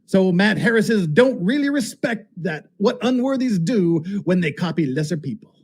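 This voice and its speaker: Male reader, high and rough-edged voice, theatrical read-aloud with an amused commentator's touch. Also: expressive, moderate pace, a little mellow. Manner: amused, often breaking into laughter as he reads. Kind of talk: dramatic reading of a written text with amused asides.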